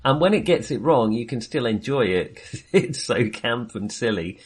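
A man's voice: mid-range voice, animated, normal speed, slightly rough. A man co-hosting a film podcast, chatting casually in a hesitant, wandering way with self-amused asides.

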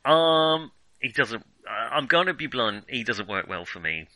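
British male film podcast co-host, sharp bright tone, animated intonation, casual, blunt and a little hesitant. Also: mid-range voice, measured pace, no echo.

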